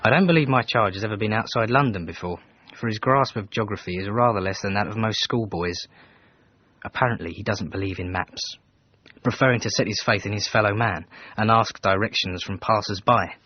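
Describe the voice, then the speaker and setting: An actor playing a reserved Edwardian manservant in a radio drama, reading out his letters in a formal, measured, dryly disapproving way. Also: fairly low pitch, slightly rough. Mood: dry, detached. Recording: dull band-limited radio, faint noise.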